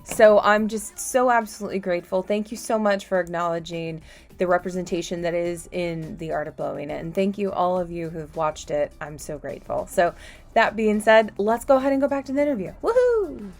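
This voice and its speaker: A woman's voice: on the low side, fairly quick. A woman giving an effusive, grateful thank-you speech in informal language.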